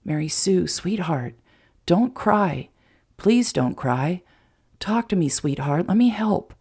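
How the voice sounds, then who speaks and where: A woman reading a protective young man who speaks low and softly with concern. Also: animated, moderate pace, creaky, exaggerated voice. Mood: worried, tender.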